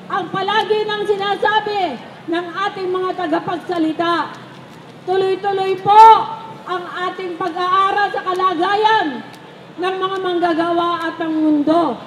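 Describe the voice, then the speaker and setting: A Filipino woman speaking publicly as a rally speaker, urging her listeners on. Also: very high-pitched. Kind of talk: address to a rally. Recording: echoey, slightly noisy rally loudspeaker.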